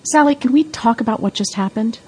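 An actress playing a no-nonsense, worried travel companion in an audio drama, with a serious, chiding manner. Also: female voice, a little high, fairly quick, a little mellow, a little hiss or hum, lightly acted. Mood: annoyed.

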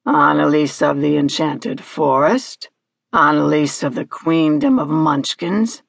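Storybook villain: horrid, pompous hag played by a woman, pitched well down, theatrical and demanding. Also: takes it slowly, vocal fry, breathy edge, dry recording, studio quality, full character voice. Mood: imperious, demanding. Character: imperious, horrid invisible hag demanding answers, female.

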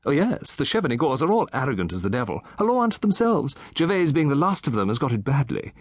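A man voicing a gossipy, genteel elderly English gentleman, raised in pitch, chatty and lightly amused. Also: very animated, fast talker, dry recording, studio quality. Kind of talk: audiobook character voice.

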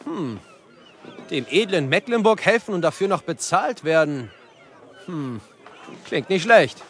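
A man's voice: on the high side, sing-song, unhurried, a bit bright. An actor playing a proud, loyal, impoverished nobleman with an exclamatory manner in an audio drama. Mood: eager, loyal. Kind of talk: German audio drama acting. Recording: noisy crowded tavern behind.

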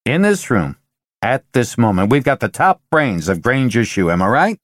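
A man voicing a loud, pushy middle-aged sales executive who rants and lets his voice climb into shouts. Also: mid-range voice, big pitch swings, normal speed, dry recording, natural voice. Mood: insistent. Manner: forceful, ranting, voice rising to shouts.

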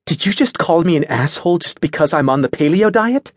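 A man's voice: on the high side, expressive, moderate pace, no room sound, pitched above the performer's own voice. A man voicing a self-important, easily offended young woman in an audiobook story, haughty and indignant.

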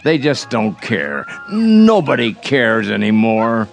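Bitter old rest-home grouch, gravelly, slow, muttering complaints, radio drama. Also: male voice, mid-range voice, sing-song, soft background music, full character voice. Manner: grumbling, muttering, repeats himself.

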